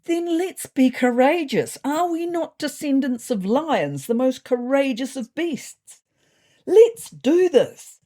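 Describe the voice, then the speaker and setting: Woman as a pompous, animated ginger tomcat diarist. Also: low voice, normal speed. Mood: grandly rousing. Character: thoughtful, neurotic, self-important male ginger cat politician. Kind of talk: first-person storybook diary narration.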